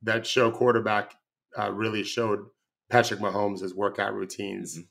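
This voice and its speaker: A man who coaches basketball, co-hosting a sports podcast and giving blunt, loud opinions in a casual way. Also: lowish voice, flat delivery, normal speed, creaky.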